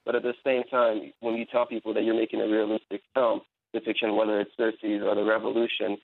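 A man speaks as a journalist on a radio panel. His voice sits a little low and comes through a tinny phone line. He argues his point fluently and earnestly. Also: completely flat delivery, fairly quick, gravelly. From Iran, raised in America. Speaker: journalist and blogger, panel guest.

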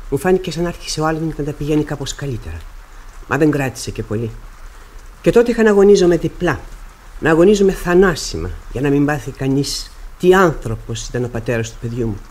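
A woman's voice: deep voice, a little mellow. An actress playing a proud middle-aged widow and mother in Greek radio theatre, speaking formally, intensely and dramatically. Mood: bitter, anguished.

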